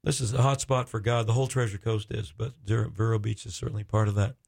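Low-voiced, effusive male radio host. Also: steady tone, creaky, a little mellow. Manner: effusive, halting and searching for words.

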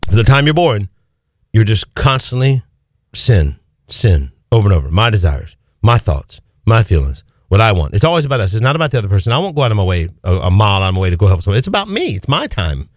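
A man's voice: on the low side, very animated, fast, no room sound, clean studio sound. A man preaching an Easter sermon as pastor, pressing his points with swinging emphasis and repeated phrases.

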